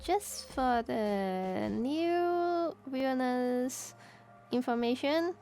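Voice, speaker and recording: A woman from Hong Kong with a Cantonese accent, chatting casually on stream, halting and restarting as she searches for words. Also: high voice, animated, dark tone, soft background music. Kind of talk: casual chat while drawing.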